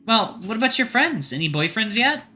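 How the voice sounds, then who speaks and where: Man putting on a high-pitched, prying mom. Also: normal speed, soft background music, full character voice. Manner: lively, nosy, coaxing. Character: chatty, prying middle-aged mother, eager matchmaker.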